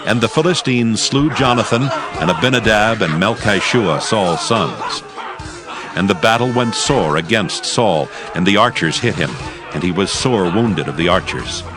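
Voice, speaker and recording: A man narrating dramatized scripture in a solemn, measured, formal way. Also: fairly low pitch, expressive. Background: music.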